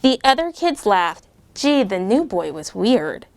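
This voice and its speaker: A middle-aged American woman, a military officer, reading a children's picture book aloud with lively, animated delivery and voiced character lines. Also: mid-range voice, unhurried, no room sound. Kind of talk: storybook read-aloud.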